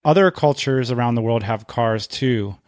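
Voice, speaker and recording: A man's voice: medium pitch, moderate pace, dry recording, clean studio sound. A man hosting a podcast, reading prepared questions and promotional copy in a scripted style. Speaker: podcast host and interviewer. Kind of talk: scripted podcast interview questions and sponsor read.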